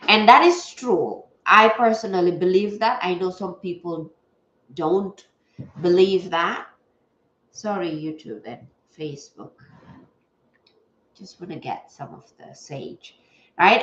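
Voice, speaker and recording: A woman hosting a casual livestream, stuffy-nosed and chatty, greeting viewers as she talks. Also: lowish voice. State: stuffy nose. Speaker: livestream host. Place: home setup at a table. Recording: echoey room, dull lo-fi sound.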